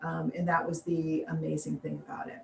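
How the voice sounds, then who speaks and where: A woman's voice: sits low, monotone, fairly quick, creaky. American woman, distant and roomy. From United States. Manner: chatty, laughs often, acts out quotes. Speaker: biologist guest. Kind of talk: casual interview answers. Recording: distant roomy mic with faint noise.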